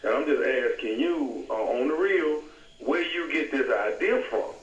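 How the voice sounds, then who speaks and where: A man's voice: mid-range voice, expressive, a little slow, far from the mic, lightly acted. A man playing a confrontational, slangy neighbour, repeating his accusations in an improvised prank phone call. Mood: accusing. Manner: insistent, repetitive, slangy. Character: confrontational male neighbour accusing someone of stealing decoration idea. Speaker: prank caller. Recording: muffled, faintly noisy, roomy phone line.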